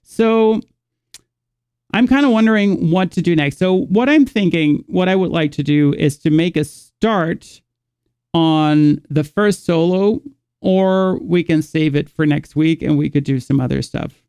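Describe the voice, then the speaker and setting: A man's voice: fairly high pitch, a little slow, a little mellow, smooth, dry recording, clean studio sound. A man from the Netherlands, teaching a live guitar lesson and rambling as he thinks aloud, stopping to restart his sentences.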